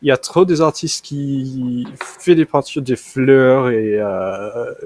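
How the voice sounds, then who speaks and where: A man's voice: medium pitch, slow, darkish tone, slight background noise. American man speaking hesitant French. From United States. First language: English. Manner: hesitant, many fillers and restarts. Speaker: language learner. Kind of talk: casual conversation practice. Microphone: video call.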